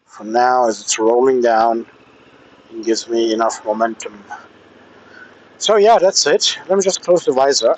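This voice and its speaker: A man talking casually and haltingly, with pauses, as he vlogs from a motorcycle through a helmet intercom microphone. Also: mid-range voice, poor audio. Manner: hesitant, halting delivery with pauses. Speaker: motorcycle vlogger testing gear. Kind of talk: casual vlog talk while riding. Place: outdoors, riding a motorcycle in traffic. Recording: helmet intercom with faint vehicle and air noise.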